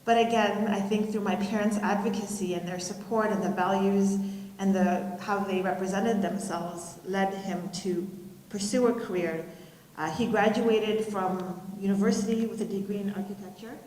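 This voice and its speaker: A middle-aged woman giving a keynote speech, telling personal stories in a measured, reflective way with pauses. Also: medium pitch, steady tone, a little mellow. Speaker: keynote speaker. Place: school auditorium, live audience. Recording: roomy hall reverb.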